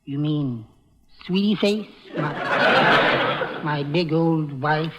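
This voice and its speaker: An actor playing a timid, fussy henpecked husband with a mild, prim, hesitant manner in 1940s radio comedy style. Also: male voice, a little high, drawn-out words. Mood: meek, sheepish. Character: meek, timid henpecked little husband, fussy bird lover. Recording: noisy, dull old radio recording.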